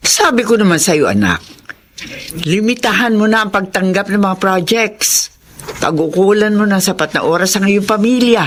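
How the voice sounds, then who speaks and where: A man's voice: high voice, big pitch swings, moderate pace, fairly bright tone. A man with a Filipino accent playing an older, admonishing, protective parent of a grown son in a radio drama. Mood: earnest advising. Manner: preachy, emphatic.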